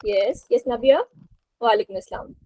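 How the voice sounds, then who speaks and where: A woman's voice: fairly high pitch, a little fast, warm tone, dry recording. A woman teaching a spoken English lesson to a group on an online call, encouraging and prompting participants. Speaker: English teacher hosting a webinar.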